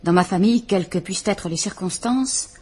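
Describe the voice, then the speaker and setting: An actress playing a frightened, formal estranged wife who is visiting a police inspector, speaking in a restrained, measured way. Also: female voice, mid-range voice, darkish tone, breathy edge, natural voice. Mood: grave, firm. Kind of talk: 1950s French radio drama acting.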